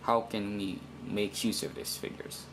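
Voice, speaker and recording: A man with a fairly low voice walks through instructions very slowly. He is deliberate and halting, circling back to repeat himself in an informal teaching style. Faint noise sits behind the recording. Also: vocal fry, fairly bright tone, rough recording. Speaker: instructor in a how-to video. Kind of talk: informal step-by-step tutorial.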